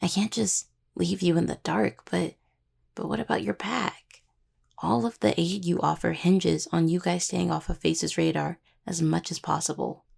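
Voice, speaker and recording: A woman playing an affectionate, playfully stubborn young necromancer healer in an intimate roleplay audio, with a husky voice and a halting, casual, teasing way of talking. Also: on the low side, fairly even intonation, a little mellow, full character voice. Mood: worried, hesitant. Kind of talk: intimate roleplay audio, one-sided dialogue to listener.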